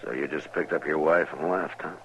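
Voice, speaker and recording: An actor playing a steady, laconic, quietly merciful frontier marshal in a 1950s radio western, terse and commanding. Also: male voice, very deep voice, brisk. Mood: weary, probing. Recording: dull, noisy old radio recording.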